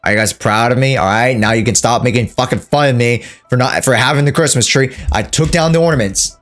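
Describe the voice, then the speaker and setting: A man's voice: medium pitch, brisk, a bit bright, faint music behind. A man giving casual live gameplay commentary, playful and exclaiming, often repeating his phrases. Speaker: game livestreamer.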